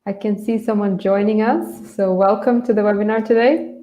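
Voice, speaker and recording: A woman's voice: mid-range voice, steady tone, moderate pace, mellow. A woman from Bangladesh hosting an online English lesson, explaining things informally and a little hesitantly. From Bangladesh, grew up in Dhaka. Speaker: English teacher, webinar host. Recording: roomy, echoey sound.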